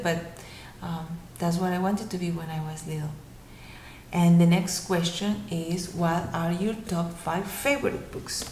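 A woman in her thirties from Mexico with a Mexican Spanish accent, answering interview questions at home in a gentle storytelling tone for children. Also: fairly low pitch, gravelly. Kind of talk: casual answers to a video interview. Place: living room at home. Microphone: laptop webcam. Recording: roomy home webcam recording, faint hiss.

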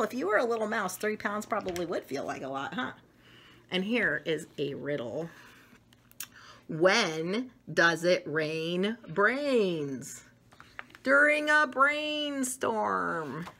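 A middle-aged woman reading a picture book aloud to children, expressive and enthusiastic, with chatty asides to her listeners. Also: fairly low pitch, slight background noise. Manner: enthusiastic, expressive, talks directly to young listeners. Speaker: read-aloud storyteller. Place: small domestic room indoors.

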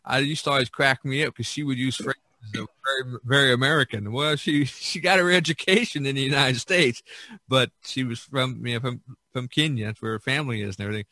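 Male podcast host, bright forceful tone, very dry close sound, casual rambling storyteller. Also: mid-range voice, fast talker, no room sound. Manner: rambling, repeats and circles back. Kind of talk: casual chat, reminiscing.

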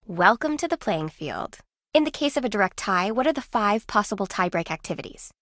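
A woman playing an aloof, competitive, secretive contestant in a video game, with a deadpan, clipped manner. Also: mid-range voice, a little fast, no room sound, clean studio sound. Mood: cool, dismissive. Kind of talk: video game character acting.

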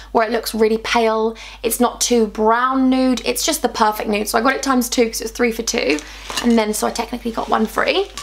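A young woman, chatting bubbly and gushing in a casual beauty haul vlog, her voice a little worn. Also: fairly high pitch. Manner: bubbly, gushing, animated with hands. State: slightly losing her voice. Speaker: beauty vlogger. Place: small indoor room with sofa.

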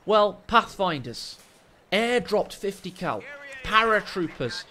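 A man with a deep voice casting a strategy game live, excitable and joking, speaking into a desk microphone in a small room at home. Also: slow pace. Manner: excitable, jokey, rising with the action. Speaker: game caster. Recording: game sound effects under the voice. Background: game gunfire and ambience.

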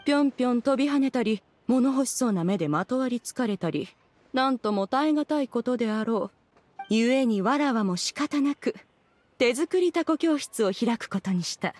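Game role: proud immortal lady, imperious archaic diction, slightly husky, slightly high pitch, faint music behind. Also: expressive, moderate pace, a little mellow. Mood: proud, dignified. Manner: archaic, imperious, formal speech. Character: haughty ancient female immortal in human form. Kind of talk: video game voice acting.

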